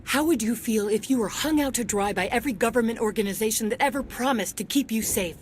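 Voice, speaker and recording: An actress playing a jaded, resentful security officer in a video game, terse and sardonic. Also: female voice, a little high, quick pace, a little hiss or hum, natural voice. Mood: bitter, guarded.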